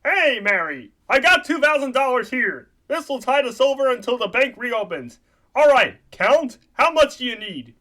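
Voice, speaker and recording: A man's voice: extremely high pitch, big pitch swings, normal speed, sharp tone, full character voice. A man voicing an earnest young frog puppet in a comic radio play, urgent and a little hesitant. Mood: earnest pleading.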